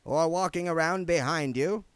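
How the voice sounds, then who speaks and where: A man's voice: a little high, normal speed, smooth, dry recording, voice pushed up, close to an everyday voice. A man voicing a sly, eager elderly wizard with an emphatic, wheedling manner in a storybook reading. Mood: sly, coaxing. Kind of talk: audiobook character voice.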